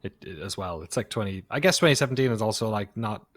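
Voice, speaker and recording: Man, 30s, low voice, relaxed storytelling, dry mic. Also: quick pace, no echo. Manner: easygoing, laughs a little as he talks. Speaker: podcast co-host. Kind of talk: casual chat, telling a childhood story.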